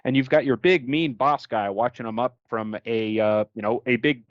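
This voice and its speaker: Male technical trainer, American, mid-pitched, conversational slide-course delivery on a dry, close, slightly lo-fi recording. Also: moderate pace, no echo. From United States. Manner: conversational, colloquial, explains with everyday examples. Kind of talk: informal explanatory slide lecture.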